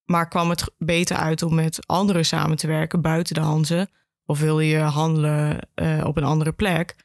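A woman's voice: low-pitched, little pitch movement, normal speed, no echo, studio quality. A woman explaining informally as an interview guest, with some hesitation. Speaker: archive education officer, podcast guest.

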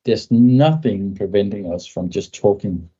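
A man's voice: lowish voice, unhurried, warm tone, breathy edge, muffled recording. A man, a podcast guest speaking thoughtfully and often ending points with a tag question. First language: Danish. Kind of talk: conversational tech interview.

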